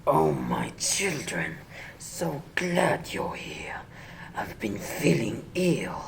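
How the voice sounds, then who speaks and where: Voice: medium pitch, sing-song, extremely slow, creaky, in a whisper, exaggerated voice. An actor playing a big bad wolf who pretends to be a sick old grandmother in a children's radio play. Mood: feigned sickly.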